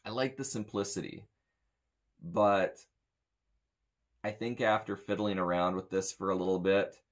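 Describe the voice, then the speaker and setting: A man's voice: fairly low pitch, steady tone, unhurried. An American man in his thirties giving a casual, rambling gear review as a sound tech, blunt and grumbling. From United States. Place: small indoor room with metal shelving.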